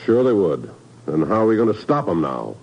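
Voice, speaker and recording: A dull old radio recording of a gruff spy chief, low and husky. His exasperated lines swing in pitch, in 1950s drama style. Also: very animated, fairly quick, heavily acted. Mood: frustrated. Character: gruff, bitter male bureau chief of spies.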